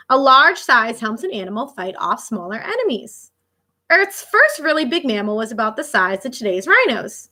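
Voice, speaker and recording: A woman reading a children's nonfiction book aloud like an elementary teacher, expressive and child-directed, pausing for casual asides. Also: on the high side.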